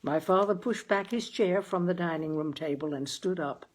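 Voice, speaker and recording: A woman with a husky voice reading a literary story aloud expressively as its host. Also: fairly low pitch, moderate pace, a little mellow, rough recording. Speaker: reader, host.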